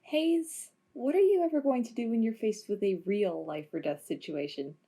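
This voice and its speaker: A woman's voice: fairly high pitch, normal speed, background noise, natural voice. A woman playing a casual, teasing female crew member in an amateur audio drama. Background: music.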